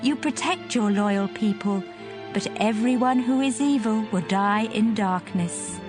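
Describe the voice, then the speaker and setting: A woman's voice: medium pitch, unhurried, natural voice. An actress as a devout, grateful mother from the Bible, in an audio drama. She declaims with earnest reverence, and music plays prominently behind her. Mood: reverent, exultant. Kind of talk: dramatized scripture reading.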